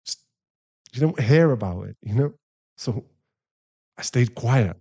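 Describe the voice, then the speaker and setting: A man playing a distraught, guilt-ridden werewolf boyfriend in an intimate ASMR roleplay, halting and pleading. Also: mid-range voice, lively intonation, creaky, warm tone, breathy edge, lo-fi sound, exaggerated voice. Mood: sad, ashamed. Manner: halting, pleading, emotionally fragile.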